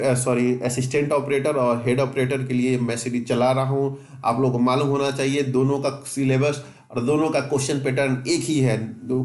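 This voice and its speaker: A man's voice: medium pitch, a little mellow. A man teaching exam preparation informally to students, repeating and stressing his points in a reassuring way. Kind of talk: informal exam-prep lesson, explaining to students. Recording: echoey, reverberant room.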